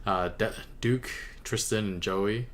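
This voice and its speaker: A man hosting a reaction video, giving casual commentary in a halting way as he thinks aloud. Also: lowish voice, a bit bright.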